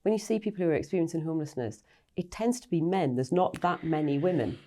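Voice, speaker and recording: A woman hosting a podcast, putting thoughtful questions to her guests in a measured way. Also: low voice, warm tone. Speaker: podcast host. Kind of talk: interviewing guests.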